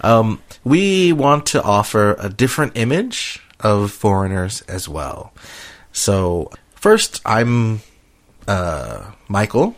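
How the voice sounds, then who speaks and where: A middle-aged American man hosting a casual conversational podcast, talking in a hesitant, halting way. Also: lowish voice, very animated. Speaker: podcast host.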